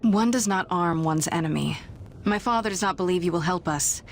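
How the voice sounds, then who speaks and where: An actress playing an earnest chief's daughter of a frontier tribe in a video game, composed and stiffly formal. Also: female voice, lowish voice, brisk, slight background noise, natural voice. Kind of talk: video game character dialogue.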